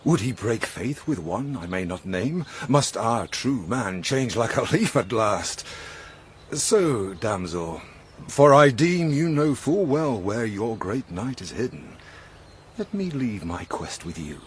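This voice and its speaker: An actor playing a gallant, mocking, carefree knight in a verse drama, flamboyant and declamatory. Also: male voice, lowish voice, big pitch swings, slow pace, a little hiss or hum. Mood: wry, courtly.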